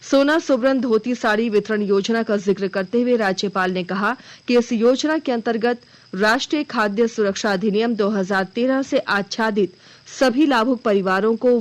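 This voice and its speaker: A woman news anchor reading a scripted regional bulletin in a formal, measured manner. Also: mid-range voice.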